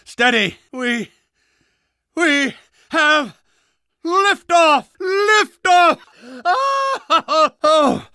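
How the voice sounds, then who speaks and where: Game role: irritable older male rocket scientist, fussy and pompous, very animated delivery, dry close recording. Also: very high-pitched, extremely slow, pauses often, dry recording, exaggerated voice. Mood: ecstatic. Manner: pompous, sarcastic, impatient, fussy enunciation. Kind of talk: video game character voice acting.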